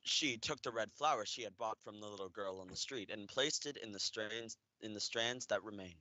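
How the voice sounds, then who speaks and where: Young man as bright, earnest radio play storyteller. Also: lowish voice, normal speed, dry recording. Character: earnest storyteller narrating a tender Christmas tale. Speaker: narrator.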